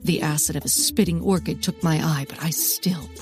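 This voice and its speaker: An actress playing an intense woman botanist confessing a forbidden love of plants in a comedic audio drama, theatrical, with dramatic pauses and hushed emphasis. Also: deep voice. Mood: grimly determined. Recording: prominent music under the voice.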